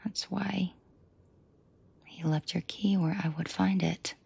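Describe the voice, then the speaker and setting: A woman playing a commanding, protective and seductive mafia boss in an intimate audio roleplay, speaking in a breathy, controlled murmur that often drops to a half-whisper. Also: lowish voice, fairly even intonation, warm tone, exaggerated voice. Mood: low menace. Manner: slow, deliberate, controlled murmur addressed closely to listener.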